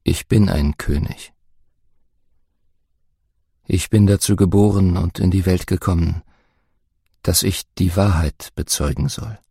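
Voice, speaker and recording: A man doing a calm, grave prisoner on trial, speaking slowly and solemnly. The voice sits very low with a gravelly, husky edge and a dark, mellow tone, in a dry, close recording. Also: dry recording, exaggerated voice. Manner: slow, solemn, deep measured delivery.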